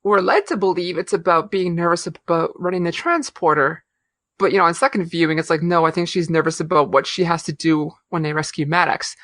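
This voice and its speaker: A woman co-hosting a podcast in easy, chatty conversation, trailing off into fillers and restarting her sentences. Her voice sits a bit low for a woman. It is recorded close and dry, with no room sound. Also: a little fast, fairly bright tone, dry recording. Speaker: podcast co-host. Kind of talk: casual chat.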